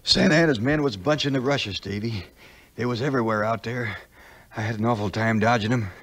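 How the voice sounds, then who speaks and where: A man's voice: medium pitch, brisk, a bit bright, natural voice. A man playing a loyal backwoods scout and sidekick, with a rustic Southern drawl, in a 1950s radio-style adventure. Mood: weary, urgent. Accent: American Southern. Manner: rustic, plain-spoken drawl.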